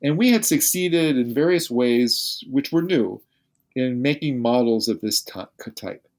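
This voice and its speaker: A man's voice: medium pitch, animated, measured pace, darkish tone. An older man explains physics in an unscripted interview, voice in a middle register. He halts often, restarts sentences and repeats words as he feels his way forward. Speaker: physicist guest.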